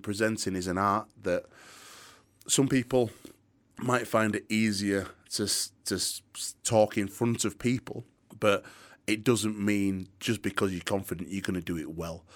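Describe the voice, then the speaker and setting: Yorkshire man with a deep radio voice. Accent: Yorkshire, softened. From Yorkshire, England. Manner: energetic, earnest, some restarts. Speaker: DJ and presenter, interview guest. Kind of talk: interview answers, storytelling. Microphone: studio microphone.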